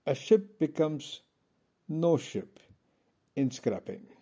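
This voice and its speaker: A man from India giving a pointed, measured speech from a conference podium. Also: fairly high pitch, expressive, mellow. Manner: measured, pointed, with pauses between phrases. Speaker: conference speaker.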